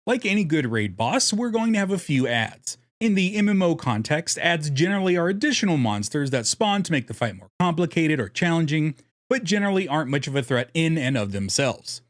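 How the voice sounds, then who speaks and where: A man's voice: on the high side, sing-song, a little fast, dry recording. A man narrating a scripted explainer as host, with a casual, self-deprecating, jokey way of talking. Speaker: host of a card game deck guide channel.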